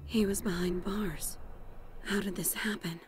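A woman's voice: medium pitch, fairly even intonation, unhurried, soft background music, lightly acted. Woman as a cool, cynical female agent. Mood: puzzled, hushed. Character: guarded, cynical woman agent's inner thoughts and speech. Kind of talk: dramatised audiobook acting.

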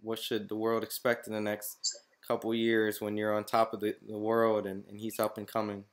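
A man's voice: fairly low pitch, monotone, moderate pace. A man interviewing a boxer, asking long questions with many restarts and fillers. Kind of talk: casual interview.

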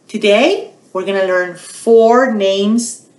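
A middle-aged woman hosting a storytime for small children, teaching bilingually and repeating phrases in a deliberate, questioning way. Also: medium pitch, a little mellow, echoey room. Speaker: children's storytime host at a public library. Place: small quiet indoor room.

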